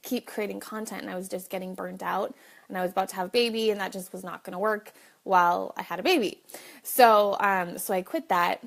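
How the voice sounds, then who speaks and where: A woman's voice: medium pitch, gravelly. A woman hosting a casual live video, chatting directly to viewers, with a slight cough. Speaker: livestream host.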